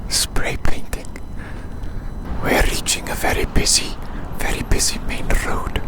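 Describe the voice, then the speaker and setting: An ASMR creator whispering soothingly outdoors on a city walk, slowly repeating short phrases. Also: poor audio. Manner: soothing, slow repetition of phrases.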